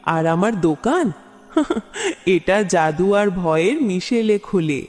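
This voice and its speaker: Cartoon witch, male actor: high-pitched, taunting and cackling, theatrical menace, very echoing hall-like reverb. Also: big pitch swings, exaggerated voice. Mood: gloating amusement. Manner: taunting, theatrical, drawn-out laughs. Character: menacing cackling witch who runs a magical biryani shop. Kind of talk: children's cartoon voice acting. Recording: long reverb, music behind.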